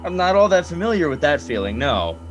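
A man hosting a comic podcast as the polite, halting straight man. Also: fairly high pitch, sing-song, muffled recording, music in the background. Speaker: podcast host. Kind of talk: comic interview in an audio play.

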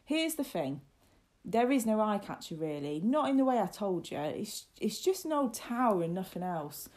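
A woman's voice: mid-range voice, big pitch swings, normal speed, darkish tone, voice pushed up, lightly acted. A woman voicing a mischievous older servant boy in a storybook, emphatic and impatient. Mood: earnest.

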